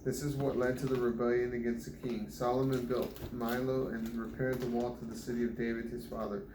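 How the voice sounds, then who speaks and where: Man, home Bible reader, steady flat delivery, trips over names; echoey room with noise behind. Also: mid-range voice, brisk. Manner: stumbles and restarts on hard names. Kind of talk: reading scripture aloud.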